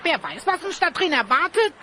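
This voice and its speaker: A woman voicing a small, timid, whiny creature with a squeaky voice in a German children's audio drama. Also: very animated, fairly quick, bright, forceful tone, exaggerated voice. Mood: sulky, timid. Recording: very noisy old cassette recording.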